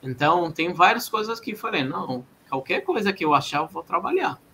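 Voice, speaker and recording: Guest spot: a non-native man, slightly high voice, bright tone, halting speech, faint background hiss. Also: sing-song. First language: not Portuguese. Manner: halting, hesitant non-native Portuguese with many restarts. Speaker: guest developer. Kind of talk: live stream chat.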